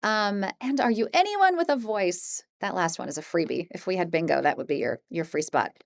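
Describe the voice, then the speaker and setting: A woman's voice: lowish voice, expressive, brisk, creaky, dry recording, clean studio sound. A woman presenting a course in a casual, conversational style, with an informal, encouraging and self-deprecating manner. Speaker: audiobook narrator teaching an introductory course.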